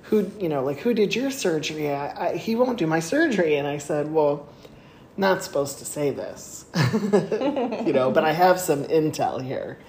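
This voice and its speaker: Woman co-host, low-pitched, telling stories casually with bursts of laughter, recorded in an echoey room. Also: measured pace. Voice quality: laughs often while talking. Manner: animated retelling, voice jumps high when excited. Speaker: podcast co-host. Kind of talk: casual storytelling chat. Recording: echoey room with faint noise.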